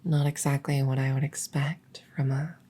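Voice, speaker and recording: Breathy, hushed young princess, acted by a woman. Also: very deep voice, little pitch movement. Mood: tender wonder. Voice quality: soft, breathy, often whispering. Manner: slow, hushed, deliberate, coy pauses. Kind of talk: intimate roleplay audio drama, ASMR-like.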